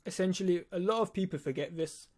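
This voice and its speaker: Teen guy w a lisp, bit high pitched, explaining stuff casually, blunt. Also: fast, darkish tone. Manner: blunt, casual, swearing, emphatic repetition. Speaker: video editor teaching on own channel. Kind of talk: informal explainer to viewers.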